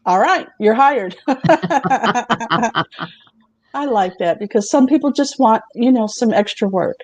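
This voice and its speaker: A woman's voice: a little high, lively intonation, slow, dry recording. A woman host who chats casually, laughs often and reads aloud from her notes. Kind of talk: casual chat.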